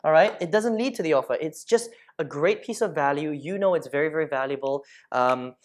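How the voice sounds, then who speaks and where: A man's voice: fairly high pitch, fairly quick. A man in his thirties from Malaysia, teaching online marketing over a screen share in a chatty way, often checking in with the listener. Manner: chatty, keeps checking in with "okay?". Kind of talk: casual screen-share tutorial. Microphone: lapel mic.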